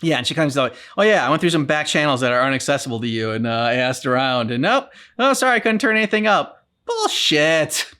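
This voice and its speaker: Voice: medium pitch, expressive, a little fast, few pauses, pushed and bright. A reaction channel co-host casually discussing a video game in a loose, wry, meandering way.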